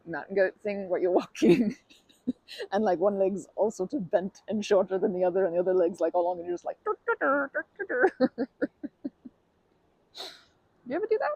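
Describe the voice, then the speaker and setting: ASMR role: polite, overly formal female stranger played by a woman, rambling with fillers, gravelly low-bright tone, lively pitch. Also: normal speed, long pauses, no echo, lo-fi sound. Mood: shy rambling. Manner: rambling, formal phrasing, many fillers and self-corrections. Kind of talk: ASMR roleplay.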